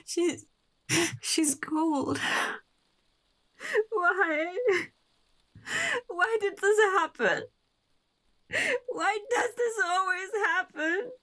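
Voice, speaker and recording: A woman's voice: extremely high pitch, animated, drawn-out words, long pauses, bright, forceful tone, heavily acted. A woman playing a distraught, obsessive young woman grieving her lover in a horror radio drama, anguished and pleading. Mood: despairing.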